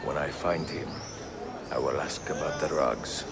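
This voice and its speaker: A world-weary Assassin with an extremely deep, gravelly voice. He is measured and brooding, in the dramatic manner of a video game. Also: steady tone, noisy background. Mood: calm, businesslike. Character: seasoned, solitary male Assassin, world-weary and reflective.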